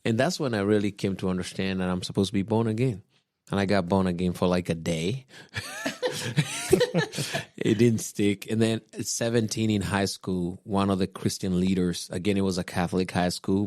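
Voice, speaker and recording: A man, calmly telling his personal faith story on a podcast, unhurried and reflective. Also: sits low, no room sound, studio quality. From Kenya. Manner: unhurried, reflective, with soft chuckles. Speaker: podcast guest husband, pastor.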